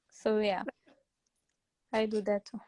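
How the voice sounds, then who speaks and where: A woman's voice: mid-range voice, a little mellow. A woman from Romania with a Romanian accent, a native Romanian speaker. She chats as a guest on a craft live stream, talking a lot, searching for English words and laughing often. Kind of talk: casual live-stream chat.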